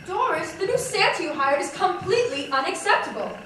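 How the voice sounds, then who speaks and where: Girl acting a shrill, bright, fussy woman supervisor. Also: expressive, a little fast. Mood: indignant. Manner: emphatic, fussy. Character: fussy, indignant 1940s woman store supervisor. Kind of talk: stage play as 1940s radio drama. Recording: echoey hall from far off, faint noise.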